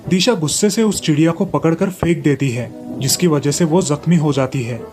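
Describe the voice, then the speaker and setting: Storybook narrator, medium pitch, reading a moral tale, music playing behind. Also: moderate pace, darkish tone.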